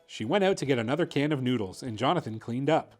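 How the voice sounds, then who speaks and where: A man's voice: mid-range voice, expressive, quick pace, faint music behind. A man hosting a story time, narrating a children's picture book expressively. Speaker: host reading children's picture books.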